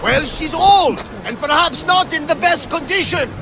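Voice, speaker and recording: An actor playing a hearty, devout older Greek sea captain in a video game, with a gravelly, booming, exclamatory delivery. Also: male voice, a little slow. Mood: hearty, untroubled. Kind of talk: dramatic video game dialogue. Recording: noisy outdoor ship ambience behind voice.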